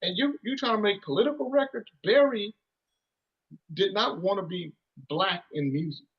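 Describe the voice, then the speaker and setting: A man's voice: sits high, moderate pace, husky, muffled recording. A man in his thirties, a musician guest, telling stories in a rambling, casual way with lots of "you know" and restarts. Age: 30s. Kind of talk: casual storytelling interview.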